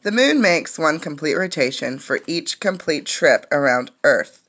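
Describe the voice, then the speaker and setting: A woman's voice: sits low, animated, fairly bright tone. A woman reading a children's science book aloud like a teacher, explaining things as she goes. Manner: explanatory, pausing to comment and ask questions.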